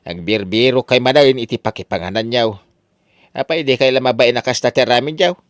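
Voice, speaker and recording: A man's voice: mid-range voice, a little fast, dry recording, clean studio sound, lightly acted. An actor playing an exasperated middle-aged father who nags and scolds in an Ilocano comedy radio drama. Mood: scolding. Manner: scolding, exclamatory. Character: exasperated, nagging father scolding his idle son.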